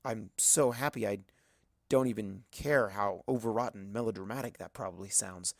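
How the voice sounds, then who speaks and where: Creaky-voiced man narrating a story. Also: fairly low pitch, normal speed, no echo, clean studio sound. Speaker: audiobook narrator of fan fiction. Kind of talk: first-person dramatic scripted narration.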